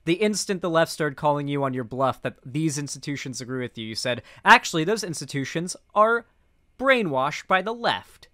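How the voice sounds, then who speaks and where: A young man giving off-the-cuff political reaction commentary as a streamer, halting and repeating himself, sardonic and sweary. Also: on the high side, animated, bright, forceful tone, no room sound, studio quality. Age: young adult. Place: indoor streaming room.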